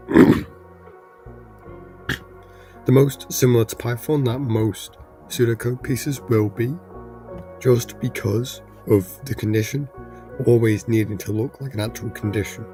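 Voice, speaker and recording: A man with a cold, his voice hoarse and congested, explaining programming in a rambling casual tutorial. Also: mid-range voice, a little slow, pauses often, warm tone, faint music behind. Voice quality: gravelly, congested. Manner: rambling, restarts with fillers.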